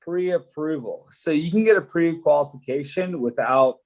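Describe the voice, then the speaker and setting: A man's voice: a little high, normal speed, a little mellow. A man whose first language is Polish, a loan officer explaining mortgage steps in a loose, casual way with lots of fillers on a live stream.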